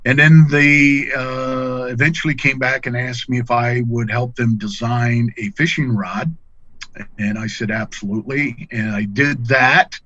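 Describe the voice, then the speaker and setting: A middle-aged man chats as a podcast guest in a folksy, plain-spoken style. His pitch is average. The sound is dry and a little dull, like lo-fi call audio. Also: steady tone, measured pace, pushed and bright, dry recording. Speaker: podcast guest, angler and fishing pro staffer. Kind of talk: casual conversational storytelling.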